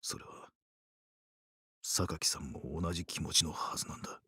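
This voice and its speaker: A guarded doctor from a visual novel with a low, husky voice. He mutters with cold restraint, yet his words come quickly, in a dry close sound. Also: sharp tone, no echo, heavily acted. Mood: earnest, restrained. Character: stern, guarded male doctor, cold when angered. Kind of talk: Japanese visual novel voice acting.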